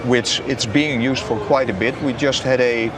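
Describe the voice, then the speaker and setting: Man in his forties, mid-pitched voice, measured and hesitant, interview answers, noisy exhibition hall. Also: fairly even intonation. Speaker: company business strategist being interviewed. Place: open booth in a large busy exhibition hall. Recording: noisy trade show hall ambience.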